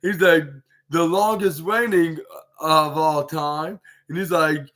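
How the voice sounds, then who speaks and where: A man's voice: on the high side, fairly even intonation, takes it slowly, fairly bright tone. A man hosting his own podcast, recapping a show in a rambling way with many fillers and restarts. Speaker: podcast host. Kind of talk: casual solo show recap.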